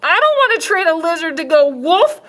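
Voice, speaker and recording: A woman's voice: very high voice, very animated, normal speed, a bit bright, pitched above the performer's own voice, full character voice. A woman voicing a stubborn little girl who firmly insists she wants a dog. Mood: stubborn insistent. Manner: firm, insistent, childlike.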